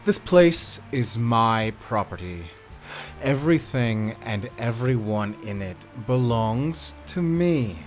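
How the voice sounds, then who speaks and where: A man playing a sadistic prison warden in an audio drama, gloating and mocking, with menacing, drawn-out delivery. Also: mid-range voice. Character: gloating sadistic male prison warden who relishes power. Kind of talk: scripted audio drama acting.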